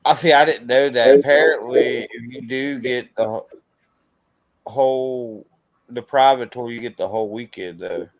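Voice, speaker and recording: A man's voice: mid-range voice, unhurried, no room sound, low-quality recording. A man hosting a casual livestream chat in an easygoing, conversational style. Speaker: host of a paranormal investigation channel.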